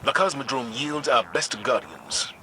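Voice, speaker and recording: A man's voice: medium pitch, expressive, a little slow, very noisy, lightly acted. An actor playing a resolute male military commander, giving formal, authoritative mission briefings in a video game.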